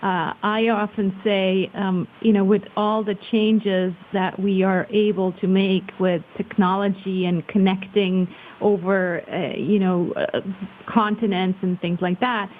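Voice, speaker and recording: A woman's voice: medium pitch, little pitch movement, measured pace. A woman from Switzerland speaking as an interview guest, thoughtful and hesitant in casual conversation. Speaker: interview guest, marketing professional. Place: remote call. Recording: muffled phone-like line with hiss.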